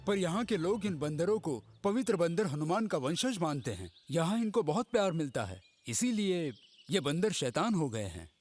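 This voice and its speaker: A man playing a calm, knowledgeable travelling companion in a cartoon dub, explaining things politely. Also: a little high, normal speed. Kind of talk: Hindi cartoon dub acting. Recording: music behind the voice.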